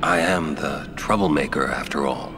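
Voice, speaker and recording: An actor playing a stern, gruff middle-aged warrior guardian in a video game, curt, blunt and commanding. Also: male voice, a bass voice, moderate pace, background noise. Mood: grave, resolute.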